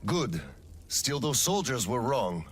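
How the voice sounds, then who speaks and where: An actor playing a weary, principled middle-aged colonel and gentle father in a video game, speaking in a measured, firm way. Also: mid-range voice, big pitch swings, slight background noise. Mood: tired, fatherly. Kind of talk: cinematic game dialogue acting.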